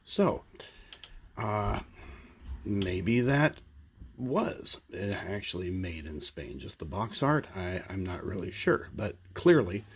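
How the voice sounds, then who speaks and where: Man, middle-aged, stuffy congested voice, lowish pitch, rambling show-and-tell, faint background hum. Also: big pitch swings, slow pace. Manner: rambling, with many fillers and restarts. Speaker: toy collector presenting.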